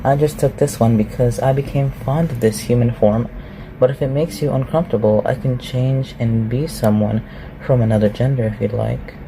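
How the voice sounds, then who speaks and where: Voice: mid-range voice, steady tone, moderate pace, noisy background, lightly acted. A performer in an ASMR roleplay voicing an ancient, reclusive shapeshifter, haughty and awkward, with formal, archaic phrasing. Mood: aloof, musing. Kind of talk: ASMR roleplay, scripted one-sided dialogue.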